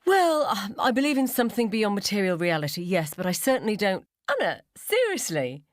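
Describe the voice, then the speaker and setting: Woman acting incredulous school mum, emphatic and lively. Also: medium pitch, brisk, no echo, clean studio sound, voice pushed up, close to an everyday voice.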